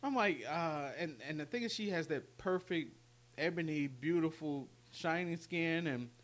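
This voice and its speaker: A man's voice: a little high, moderate pace, fairly bright tone. A man in his late thirties chatting casually as a podcast co-host, congested from allergies, rambling and repeating himself as he goes. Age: late 30s. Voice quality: stuffy, congested nose. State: stuffy from allergies. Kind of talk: casual chat.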